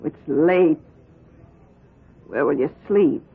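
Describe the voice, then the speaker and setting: Middle-aged woman, anxious and soft-hearted, halting husky voice, vintage radio melodrama with tinny, narrow audio. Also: low-pitched, lively intonation, full character voice. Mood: tender, hesitant. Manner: hesitant, halting delivery. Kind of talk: 1970s radio drama acting. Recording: tinny, band-limited old radio broadcast.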